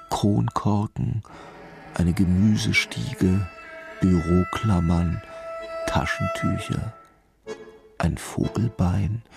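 An actor playing a brooding, intuitive police commissioner in a radio crime drama, measured and pensive. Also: male voice, deep voice, gravelly, full character voice. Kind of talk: radio crime drama, reflective narration and dialogue.

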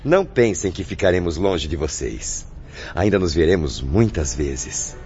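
Male actor as affectionate spirit orderly, low voice, lilting range, gentle radio drama delivery, music behind. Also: lively intonation, measured pace. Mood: consoling. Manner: gentle, reassuring. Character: patient, affectionate male spirit hospital helper. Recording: muffled audio under music.

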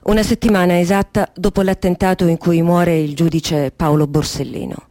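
An actress gives a sober female narration for a documentary radio play. The timbre is soft-edged and dark, set a little low. The sound is dry and close. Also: fairly even intonation, moderate pace, dry recording, natural voice. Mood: grave.

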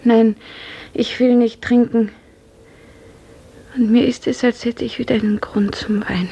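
A woman playing a dreamy, grief-numbed young serf in a 1950s radio drama, hushed, breathy and mournful. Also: fairly high pitch, flat delivery, unhurried, slight background noise. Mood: dreamy devotion. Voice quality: breathy, half-whispered.

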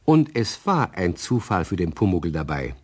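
A man narrating a children's radio play as an expressive, husky-voiced storyteller. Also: deep voice, normal speed, heavily acted. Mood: storytelling.

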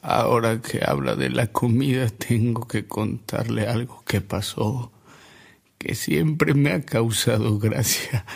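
A man's voice: mid-range voice, fairly even intonation, vocal fry, slightly rough, heavily acted. An actor with a Mexican accent playing a fond, amused storyteller who savors his memories in a radio drama. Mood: amused, nostalgic. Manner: unhurried, savoring anecdotes, chuckling delivery. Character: Don Alberto, fond, amused old storyteller reminiscing about a bishop.

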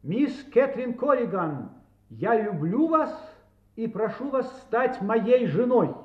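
A solemn, ardent suitor in an audio drama, deliberate and formal. His high voice swells with lively intonation. It comes through thin and echoing. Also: narrow, tinny audio, exaggerated voice. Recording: thin, band-limited, echoing sound.

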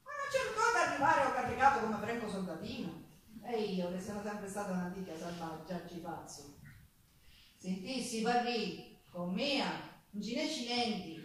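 A woman's voice: mid-range voice, lightly acted. An actress playing a poor, rebellious Sicilian woman in a theatrical monologue, earthy and defiant, with a Sicilian accent. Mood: indignant. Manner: earthy, defiant, dramatic storytelling. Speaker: stage actor and singer. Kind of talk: theatrical monologue with folk singing. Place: theatre stage. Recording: echoey theatre, distant mic, guitar music. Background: acoustic guitar.

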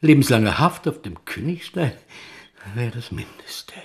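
A man's voice: medium pitch, very animated, unhurried, a little mellow. An actor playing a frightened middle-aged male banker who whispers in fear in a radio play. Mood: fearful.

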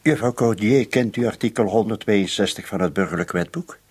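An actor playing a probing police commissioner in a radio drama, speaking with formal authority. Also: male voice, fairly low pitch, a little fast, darkish tone, husky.

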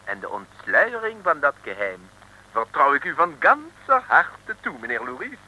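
A grandiose French inspector in a vintage radio drama, with a French accent. His delivery is formal and emphatic, his pitch leaping about, his tone piercingly bright. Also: wildly swooping pitch, unhurried, slight background noise, heavily acted, poor audio. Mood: earnest, confiding. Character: courteous, excitable French police inspector, dutiful and grandiose. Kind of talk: 1950s-60s radio serial acting.

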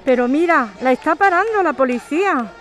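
A woman's voice: high-pitched, animated, fairly quick, a bit bright, soft background music. A woman playing an anxious, protective middle-aged mother at an eviction protest in a radio drama. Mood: worried, tender.

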